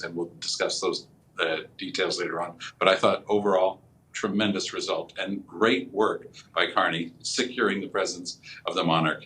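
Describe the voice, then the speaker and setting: A man's voice: fairly low pitch, fairly bright tone, rough recording. A man with a Canadian accent giving emphatic political commentary on live TV, with some background noise.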